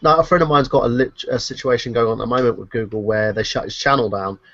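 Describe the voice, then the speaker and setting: A man's voice: mid-range voice, steady tone, fast, a bit bright. A man from the United Kingdom on a group stream call, explaining things at length with frequent ums. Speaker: stream panelist. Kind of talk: casual group chat. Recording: dull dry lo-fi call audio.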